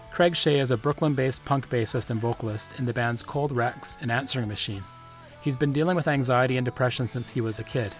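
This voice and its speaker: Earnest man hosting a podcast. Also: mid-range voice. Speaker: podcast host. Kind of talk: scripted podcast narration and interview questions.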